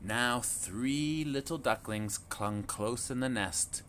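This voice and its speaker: A man reading a picture book aloud to children in a slow, clear, expressive storytelling manner. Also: mid-range voice, a little hiss or hum.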